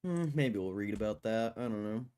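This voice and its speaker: Laid-back male streamer with a lowish voice, going hoarse, mutters and swears casually at his desk mic. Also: animated, brisk, warm tone. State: losing his voice, throat-clearing. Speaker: stock market live streamer. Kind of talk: casual live stream chat.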